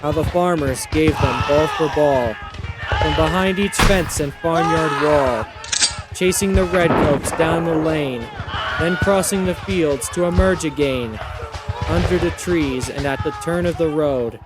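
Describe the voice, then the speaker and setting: A man reads narrative poetry dramatically, in a solemn, measured storytelling manner. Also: a little high. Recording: loud music behind the voice.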